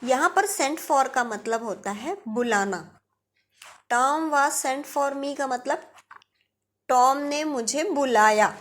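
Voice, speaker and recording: Female Indian tutor, higher-pitched, unhurried delivery, didactic and repetitive, for instructional video lessons. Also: fairly bright tone. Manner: didactic, explains step by step with repetition. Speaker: English grammar teacher. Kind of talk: instructional video lesson, explaining grammar rules with examples.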